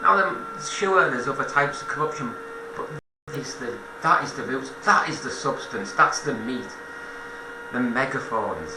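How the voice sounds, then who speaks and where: A man delivers an off-the-cuff political monologue at a middling pitch. He halts, corrects himself and repeats phrases to press his point. The recording is roomy and echoey, with a clear background hum. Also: expressive. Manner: halting, self-correcting, repeats phrases for emphasis. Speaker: political commentator. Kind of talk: informal spoken political monologue.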